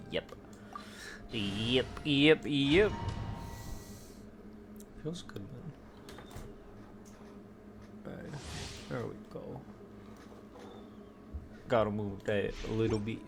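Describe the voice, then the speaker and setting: A man giving casual gameplay commentary, muttering to himself in short phrases as he plays. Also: medium pitch. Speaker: game streamer. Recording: game music behind. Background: video game music and effects.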